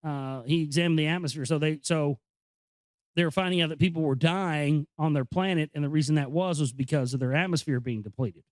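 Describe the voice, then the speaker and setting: A man hosting an informal read-aloud, explaining and commenting in a halting, conversational way. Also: fairly high pitch, no echo. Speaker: host reading a book aloud with commentary. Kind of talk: informal reading with off-the-cuff commentary.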